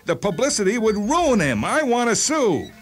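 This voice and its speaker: An actor playing an irate, aggrieved shopkeeper patient in a radio drama, whiny and complaining. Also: male voice, high-pitched, sing-song, moderate pace, soft background music. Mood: indignant. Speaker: actor voicing a character.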